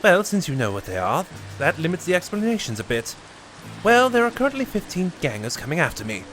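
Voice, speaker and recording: A man's voice: high-pitched, big pitch swings, a bit bright, soft background music, pitched above the performer's own voice, poor audio. A man voicing a flustered male time traveller who talks hurriedly and muses aloud. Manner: quick, musing, breathless.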